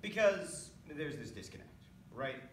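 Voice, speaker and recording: A man's voice: fairly high pitch, sing-song, unhurried, a bit bright. A young man giving a dramatic scripted recitation as a readers theatre performer. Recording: echoey room, distant mic, faint noise.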